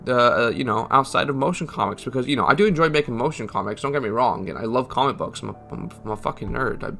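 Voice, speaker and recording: A man's voice: medium pitch, animated, quick pace, creaky, pushed and bright, faint music behind. A man giving a casual, joking voice-over update in a sweary, self-mocking and informal manner. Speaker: channel creator and host.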